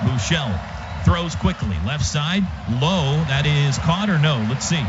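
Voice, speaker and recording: Male football play-by-play caller, high register, punchy and clipped, over loud stadium din. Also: a little slow. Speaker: play-by-play radio announcer. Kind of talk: live football play-by-play commentary. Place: stadium radio booth. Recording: dull radio broadcast, loud stadium noise. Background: loud stadium ambience.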